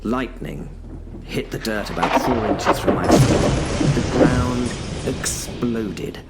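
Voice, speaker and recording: A man's voice: lowish voice, very noisy. A man narrating a first-person horror audio drama in a wry, self-mocking way. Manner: wry, self-mocking, dry British humour. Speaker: narrator and lead character.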